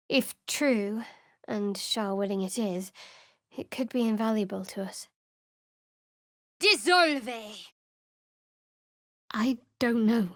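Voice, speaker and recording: An actress voicing a guarded, devout dark cleric woman in a video game, with a dry, sardonic, measured delivery. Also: on the high side, no room sound, close to an everyday voice. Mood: intense, incanting. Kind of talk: video game character voice acting.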